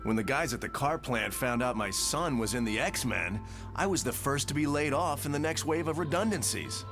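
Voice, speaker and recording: A man's voice: mid-range voice, expressive, moderate pace, over music, close to an everyday voice. A man playing an aggrieved working-class father in a motion comic, pressing and exasperated. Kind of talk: motion comic character acting.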